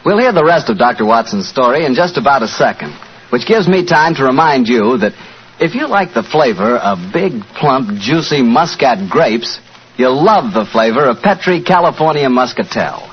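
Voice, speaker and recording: An American male announcer delivering a folksy, enthusiastic 1940s radio sales pitch. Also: big pitch swings, moderate pace. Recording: muffled, hissy 1940s radio broadcast.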